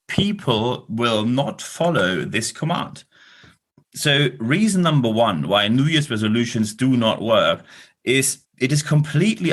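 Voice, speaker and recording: A man's voice: mid-range voice, fairly bright tone. A man from Northern Germany with a German accent, hosting a solo leadership podcast with blunt talk and dry sarcastic asides. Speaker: podcast host. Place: recording in London.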